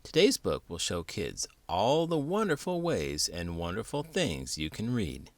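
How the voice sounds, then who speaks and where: A man's voice: on the low side, huge pitch swings, measured pace, no echo. A man hosting a children's storybook read-aloud, theatrical and full of big emotion, stretching words for effect. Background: music.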